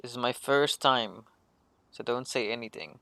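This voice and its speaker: A man's voice: medium pitch, moderate pace, sharp tone, no room sound. A man livestreaming a game, chatting casually and greeting viewers in an offhand way. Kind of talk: casual game stream chat.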